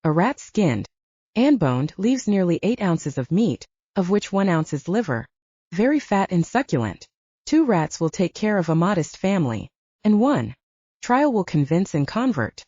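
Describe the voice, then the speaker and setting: Woman voicing a pompous, animated gentleman scientist. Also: lowish voice, normal speed, slightly rough, no echo. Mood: pompous, enthusiastic. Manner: oratorical, pompous. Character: grandiose, effusive male scientist and gourmet orator. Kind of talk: audiobook character voice. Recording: dull, muffled dry audio.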